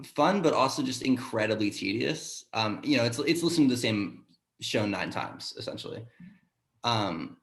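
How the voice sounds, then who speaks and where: A man answering casually in a panel discussion as a sound designer, haltingly thinking aloud as he goes. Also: lowish voice, fairly bright tone. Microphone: video call. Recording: roomy echo on a video call.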